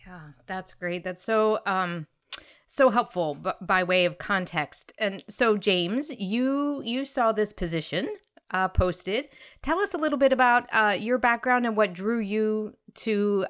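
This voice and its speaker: Clear-voiced woman hosting an interview. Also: mid-range voice, measured pace, fairly bright tone. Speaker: interviewer, host. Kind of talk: conversational interview questions.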